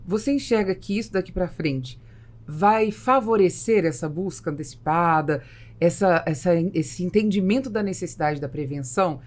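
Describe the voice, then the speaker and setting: A Brazilian woman hosting a business podcast, asking long questions and telling lively anecdotes. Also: fairly low pitch, normal speed. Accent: Brazilian Portuguese. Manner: animated, tells anecdotes, asks long questions. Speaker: podcast host.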